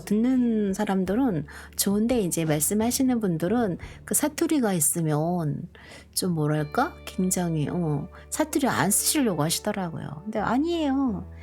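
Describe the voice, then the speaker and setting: A middle-aged woman from Korea who grew up partly in Busan, chatting slowly and gently to viewers with many pauses and fillers. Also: medium pitch. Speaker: live stream host. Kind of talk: casual live chat to viewers. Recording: soft background music.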